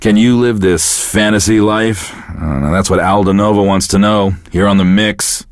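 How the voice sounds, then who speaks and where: An actor playing a bitter, self-pitying middle-aged American radio DJ in a video game, with deadpan, profane, casual patter. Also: male voice, fairly low pitch, moderate pace, no room sound, clean studio sound, natural voice. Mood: weary, sardonic.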